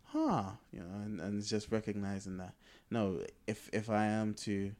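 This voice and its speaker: Low-voiced man chatting on a podcast. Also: flat delivery, moderate pace, a little mellow. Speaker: podcast co-host. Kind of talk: casual conversational podcast chat.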